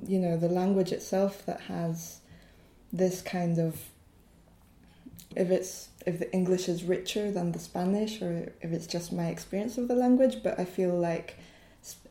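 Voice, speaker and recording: A woman's voice: on the low side, dark tone. A woman from Mexico, a poet and translator, answering reflectively and searching for words with many ums and you-knows. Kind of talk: casual interview chat. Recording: roomy echo.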